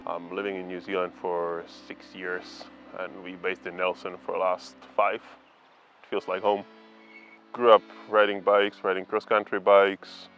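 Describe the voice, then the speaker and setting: Low-voiced Czech man over faint music. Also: monotone, a little slow, fairly bright tone, muffled recording. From Prague, Czech Republic. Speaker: competitive bikepacking racer. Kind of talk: casual documentary interview.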